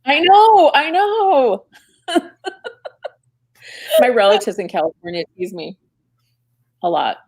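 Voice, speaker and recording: A woman's voice: fairly high pitch, expressive, takes it slowly, long pauses, a little mellow. A woman with a Minnesotan accent, a guest on a live chat, giving brief, easygoing replies. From Minnesota, Twin Cities. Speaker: guest YouTuber.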